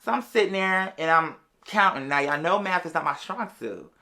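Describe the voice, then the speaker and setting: A podcast host telling a personal story in a casual, animated, sassy way, full of slang and drawn-out emphatic words. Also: medium pitch. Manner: animated, sassy, slangy, addressing listeners as "girl". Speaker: podcast or live show host. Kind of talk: casual storytelling monologue to an audience.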